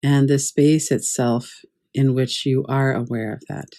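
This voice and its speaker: Woman, meditation teacher, dark relaxed timbre, calm, measured, gently instructive. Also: very deep voice. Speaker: yoga nidra meditation teacher.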